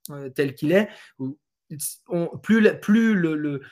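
A man from France with a fairly high voice answers interview questions in relaxed conversation. He hesitates a lot, filling gaps and restarting his sentences as he explains. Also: slow pace. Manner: hesitant, many fillers and restarts. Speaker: Trackman representative on golf tours.

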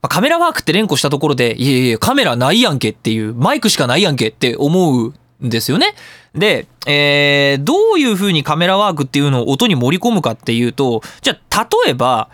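A man hosts a casual radio talk show in a dry studio sound. His fairly high voice swings freely in pitch as he explains things politely, tossing in jokes at his own expense. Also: sing-song, quick pace, a bit bright, dry recording. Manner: polite, self-joking, explanatory. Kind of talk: casual solo talk show on audio production. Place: recording studio.

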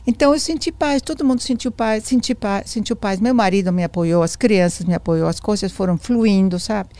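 A woman's voice: medium pitch, brisk, no room sound. A woman in her sixties or older who speaks Portuguese with a foreign accent, telling her story as an interview guest and acting out the dialogue she quotes. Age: 60s or older. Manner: animated storyteller, acts out quoted dialogue, repeats phrases.

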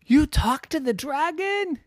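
A storyteller voicing a group of excited young children who fire off breathless, squealing questions. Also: mid-range voice, normal speed, creaky, no room sound, studio quality, pitched above the performer's own voice. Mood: amazed.

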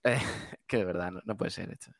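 A man's voice: low voice, normal speed, vocal fry, dry recording, clean studio sound. A man co-hosting a radio show, reading out listener comments and bantering in a jokey, colloquial way. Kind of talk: casual live chat, reading out comments.

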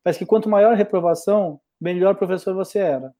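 A man's voice: sits high, fast talker, warm tone. A middle-aged man from Brazil, a mathematics professor, talking reflectively in a casual conversation with many restarts.

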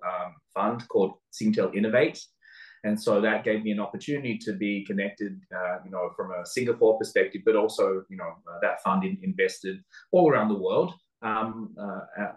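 Casting: Australian male interview guest, lowish voice, thoughtful and halting, recorded in a roomy echo. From Sydney, Australia. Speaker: interview guest, venture capital investor. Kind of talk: conversational interview answer. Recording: roomy, echoing sound.

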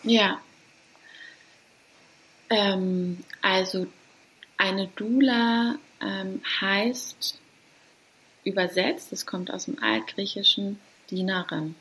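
A woman in her thirties from Hamburg, talking casually and hesitantly as a guest, with many pauses and light laughs. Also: medium pitch, fairly even intonation, fairly bright tone, slightly rough, rough recording. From Hamburg, Germany. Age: 30s. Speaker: guest, doula. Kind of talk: casual interview talk.

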